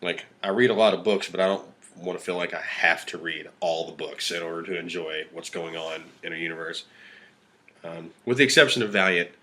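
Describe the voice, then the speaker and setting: Lowish-voiced man, rambling casual talk. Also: brisk, sharp tone. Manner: rambling, trails off often, swears casually. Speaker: podcast co-host. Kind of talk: casual chat.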